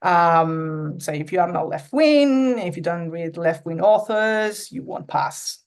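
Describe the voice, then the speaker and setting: A woman in her thirties with an Argentine accent speaks as a podcast guest. Her voice sits somewhat low for a woman, and she talks in an earnest, casual way. Her Spanish-speaking roots come through as she halts on fillers and restarts while finding her words. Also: expressive, slow pace. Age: 30s. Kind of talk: casual interview conversation.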